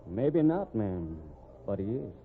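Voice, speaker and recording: An actor playing a calm, dry-witted rodeo manager who investigates a murder. He speaks in a laconic drawl, in 1940s radio acting style. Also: on the low side, takes it slowly, a little hiss or hum, exaggerated voice. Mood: grim. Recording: narrow-band old radio broadcast.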